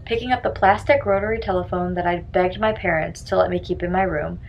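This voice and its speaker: A woman's voice: lowish voice, steady tone, fairly quick, creaky, muffled recording, slight background noise. A young woman reading a scripted first-person story aloud with dramatic delivery.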